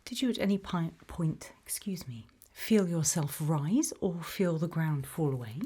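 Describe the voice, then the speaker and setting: British woman whispering softly, low and husky. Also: lively intonation, measured pace. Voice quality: soft whispering, breathy. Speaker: ASMR roleplay creator playing an investigator. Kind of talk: ASMR roleplay interview.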